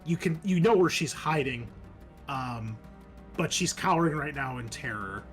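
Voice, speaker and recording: A man with a slightly high voice acts as game master for a tabletop horror session. He moves casually between vivid scene narration and rules talk, swearing now and then. Faint music plays underneath. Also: expressive, normal speed.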